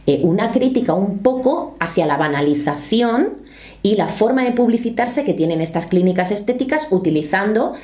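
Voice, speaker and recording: A woman's voice: medium pitch, lively intonation, moderate pace, warm tone. A woman, talking earnestly to camera from a small home studio and reading quotes aloud. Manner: earnest, explanatory, gestures with her hands. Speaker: youtuber commentator.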